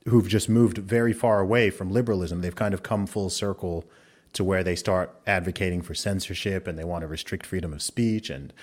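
A man who grew up in Saudi Arabia, hosting a podcast interview and talking in a measured, reflective way through long arguments. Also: deep voice, fairly even intonation. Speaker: podcast host. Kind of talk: conversational interview.

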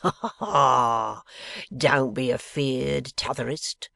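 A man's voice: mid-range voice, takes it slowly, pushed and bright, no echo, exaggerated voice. A man voicing a coarse, sly lock-keeper from a novel, growling with an insolent manner. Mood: mocking, confident. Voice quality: growling, gruff. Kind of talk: dramatised novel reading.

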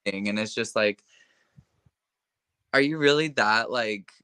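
A man's voice: lowish voice, slow pace, sharp tone, clean tone, no room sound. A young man being interviewed in casual conversation. He speaks with impassioned conviction and leans heavily on filler words. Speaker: interview guest.

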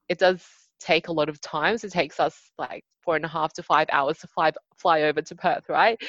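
A chatty woman with a low voice talks as an interview guest over a video call. She fills her sentences with "you know" and "like". The audio is dry and a little dull. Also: no echo. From Australia. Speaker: podcast guest, hackathon organiser.

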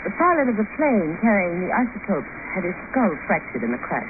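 A woman's voice: medium pitch, sing-song, brisk, natural voice. An actress playing a guarded, self-possessed woman surgeon in an old radio drama, speaking formally and coolly. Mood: aloof. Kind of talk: 1950 radio drama acting. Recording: hissy, tinny old radio broadcast recording.

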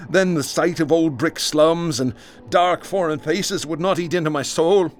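A man's voice: fairly high pitch, measured pace, close to an everyday voice. An actor playing a haunted, traumatized man who recounts nightmarish horrors in a florid, intense, theatrical radio drama delivery. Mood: bitter. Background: music.

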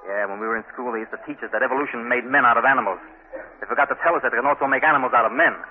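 An actor playing an earnest, idealistic poor young architect from the tenements, impassioned and speechifying in 1940s radio drama style. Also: male voice, mid-range voice, racing, phone-quality sound, lightly acted. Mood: earnest, preaching. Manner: impassioned, speechifying about hopes and hardship. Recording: thin, noisy 1940s radio recording.

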